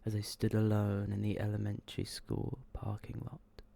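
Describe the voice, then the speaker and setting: A man reading short horror stories in a soft, breathy, hushed ASMR style, often slipping toward a whisper. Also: on the low side, monotone. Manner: slow, hushed, subdued delivery. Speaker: ASMR storyteller.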